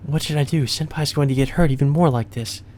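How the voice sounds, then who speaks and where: A man voicing a worried, quietly obsessive young girl in love, in a visual novel, softly and calmly. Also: fairly high pitch, fast, a little hiss or hum, pitched above the performer's own voice, natural voice.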